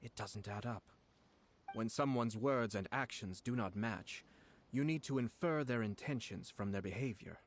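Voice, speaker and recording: An actor playing a shrewd, composed young nobleman in an anime game, speaking in a measured, formal and deliberate manner. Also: on the low side, a little hiss or hum, close to an everyday voice. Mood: analytical. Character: composed, shrewd young noble clan lord, calculating and polite. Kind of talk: anime game voice acting, expository dialogue. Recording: loud game music behind the voice.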